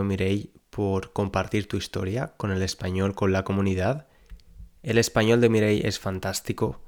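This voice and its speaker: A man hosting a podcast for learners, speaking in a clear, measured way. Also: on the low side. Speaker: podcast host and Spanish coach.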